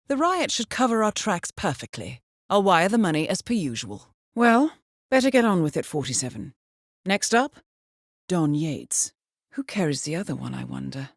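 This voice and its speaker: A woman voicing a composed, coolly professional intelligence handler in a video game, briefing an assassin in a measured, formal, understated manner. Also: medium pitch, dry recording, clean studio sound. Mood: musing, wary. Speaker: mission handler. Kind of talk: scripted video game mission briefing voice-over.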